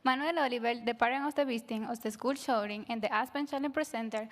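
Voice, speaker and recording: A teenage girl whose first language is Spanish, presenting a school project in a plain, slightly halting way. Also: on the high side, long reverb tail. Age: teens. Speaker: student presenter.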